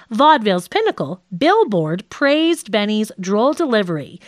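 A woman narrating a nonfiction audiobook from a script in a measured, articulate way. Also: medium pitch, dry recording, clean studio sound.

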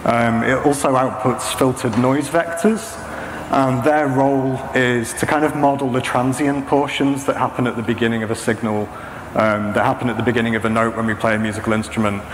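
A man's voice: medium pitch, fairly even intonation, brisk. A man, around his thirties, giving an informal technical talk in a hesitant, explanatory manner. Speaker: presenter, saxophonist and machine learning practitioner. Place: indoor stage at a talk venue, before a projection screen. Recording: echoey hall, clearly noisy background.

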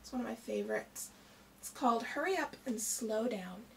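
A woman's voice: a little high, normal speed, vocal fry. A middle-aged woman reading a picture book aloud expressively to children, like a primary teacher. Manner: expressive, addressing children with questions. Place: small indoor room with shelves. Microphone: camera a short distance away. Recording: distant mic, faint background noise.

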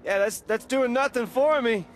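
An actor playing a cocky, wisecracking young rebel in a video game, casual and sarcastic in manner. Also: male voice, extremely high pitch, unhurried. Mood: cocky, flippant. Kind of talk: video game drama acting.

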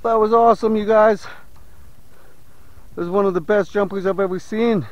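A man vlogging from a small boat on a lake, chatting casually to viewers and getting excited as he fishes. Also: high voice, normal speed, no echo. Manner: excitable, talks to viewers as "you guys". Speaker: fishing vlogger. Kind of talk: casual on-water fishing commentary.

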